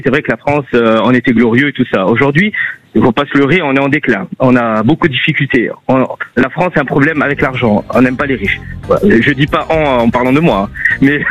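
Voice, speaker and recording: A man calling a radio show, a restaurant owner talking rapidly and chattily with many fillers. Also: medium pitch, a bit bright, low-quality recording. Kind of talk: call-in radio debate.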